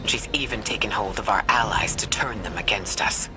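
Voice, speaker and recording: A performer playing an earnest, formal advisor in a sci-fi video game, speaking in a measured manner. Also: natural voice. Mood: grave. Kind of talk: video game dialogue acting. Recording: noisy game ambience, vehicle rumble.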